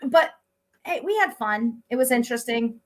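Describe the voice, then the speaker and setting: Middle-aged woman host, slightly high voice, upbeat and chatty, casual interview. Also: lively intonation, normal speed. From Pennsylvania, USA. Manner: chatty, upbeat, laughs often.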